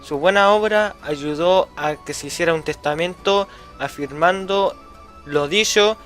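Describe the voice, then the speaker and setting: A man's voice: on the high side, a little slow, a bit bright, faint music behind. A man narrating a scripted summary of a story. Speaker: narrator of a student book summary.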